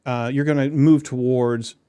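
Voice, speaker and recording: A man's voice: mid-range voice, steady tone, normal speed, warm tone, clean tone, no room sound. Jokey male podcast host, dry sound. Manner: jokey, laughs often, stumbles over words. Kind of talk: casual interview and promo read.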